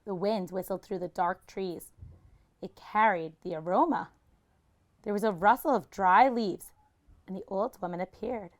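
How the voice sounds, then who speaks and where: A woman's voice: mid-range voice, unhurried. A woman reading a children's picture book aloud like a teacher, expressively and with dramatic emphasis.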